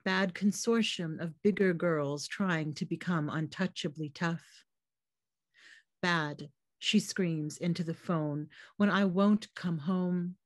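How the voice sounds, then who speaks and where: Canadian woman poet, slightly hoarse, low-pitched, reading poems over a video call; dry sound. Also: slow pace, no room sound. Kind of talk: poetry reading. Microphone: computer mic on a video call.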